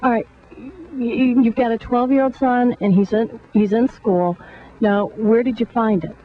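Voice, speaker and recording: A woman's voice: fairly high pitch, steady tone, normal speed. Woman hosting lo-fi radio call-in show. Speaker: radio talk show host. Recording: muffled lo-fi radio recording.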